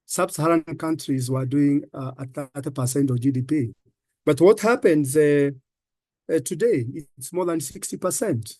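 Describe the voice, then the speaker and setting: A man with a Kenyan accent, a finance professor giving halting comments on a panel with many fillers and repeated words. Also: a little high, a little mellow, no echo.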